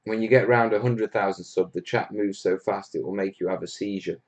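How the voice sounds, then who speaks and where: A man's voice: lowish voice, steady tone, a little fast. A man from Wales hosting a casual live Q&A stream, chatting to viewers in a blunt, jokey, matey way. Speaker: host of a hobby livestream.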